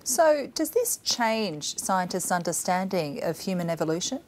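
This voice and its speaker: Brief: female news anchor, middle-aged, Australian accent, lower-pitched voice, studio delivery. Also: very animated, measured pace. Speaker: news presenter. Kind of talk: news reading and interviewing.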